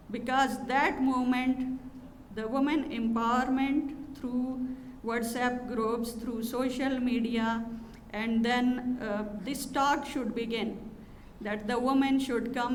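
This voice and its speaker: A woman giving a formal conference address from a podium, measured and pausing often with fillers. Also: on the high side. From Pakistan. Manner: measured, with frequent pauses and fillers. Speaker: conference speaker from an NGO. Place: hotel conference hall. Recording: large hall reverb, faint noise.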